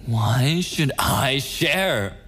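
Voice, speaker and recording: A man's voice: mid-range voice, animated, fairly bright tone, breathy edge, heavily acted. A man playing a possessive, unhinged, murderous kidnapper boyfriend in an ASMR audio roleplay, menacing and often slipping into whispers. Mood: adoring, possessive. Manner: slow, drawn-out menace slipping into whispers and giggles.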